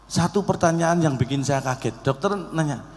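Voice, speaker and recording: A man's voice: on the high side, moderate pace. A man, giving a joking, conversational humorous speech directly to a live audience. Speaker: comic speaker at an organisation's event. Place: large hall event with audience. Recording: echoey hall PA with background noise.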